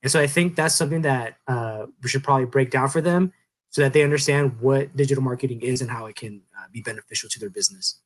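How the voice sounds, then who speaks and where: A man in his thirties co-hosting a podcast, explaining things in a relaxed, rambling way with many fillers. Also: mid-range voice, fast. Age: 30s. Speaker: podcast co-host. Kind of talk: casual podcast chat.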